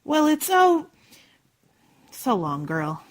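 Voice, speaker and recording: A woman's voice: sits high, sing-song, extremely slow, heavily acted. A woman playing a breezy, gossipy best friend in an old-time radio sitcom, arch and dry-witted. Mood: arch, chummy.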